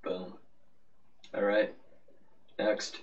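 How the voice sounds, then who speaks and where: A man's voice: lowish voice, little pitch movement, extremely slow. A man giving a casual, rambling, offhand game walkthrough as the host of a gaming video. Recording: muffled, noisy, distant-sounding microphone.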